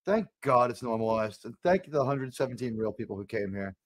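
A loud, profane man hosting a live watch party from his home room. His voice is dry and close on the desk mic, with a middling pitch and a joking, blunt way of talking. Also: expressive, fast, no echo. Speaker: streamer host. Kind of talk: casual watch-party chat.

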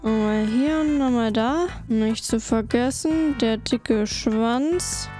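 A woman narrates a drawing tutorial one step at a time, with a mock-earnest, deadpan delivery. She speaks very slowly in a warm, mellow tone that sits a little high. Faint music plays underneath.

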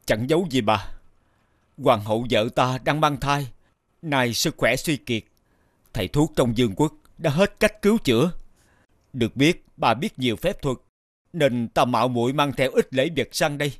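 A man playing an anxious, devoted king in an animated fairy tale, speaking in a formal, courtly way. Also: mid-range voice, normal speed, no echo, clean studio sound, lightly acted. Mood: respectful, pleading.

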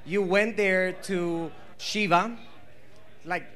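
A man's voice: high-pitched, steady tone, slow pace, a bit bright. A man in the audience giving an earnest, slightly hesitant thank-you. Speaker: audience member.

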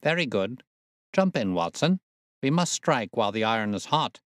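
Male narrator as a gentleman detective, medium pitch, brisk, precise, self-assured, courteous, dry audiobook recording. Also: very animated, no echo. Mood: brisk, cheerful.

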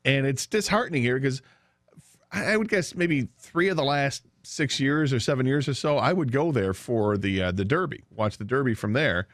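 A man's voice: medium pitch, normal speed, fairly bright tone, no echo. A man with an American accent co-hosting a sports radio show in casual discussion on a studio microphone. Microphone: studio broadcast microphone.

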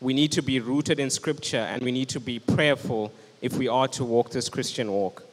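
A man's voice: mid-range voice, steady tone, normal speed. Young man preaching in a reverberant hall. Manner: earnest, urgent preaching, standing with a handheld mic. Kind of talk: sermon. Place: church stage with band instruments, indoors. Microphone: handheld microphone.

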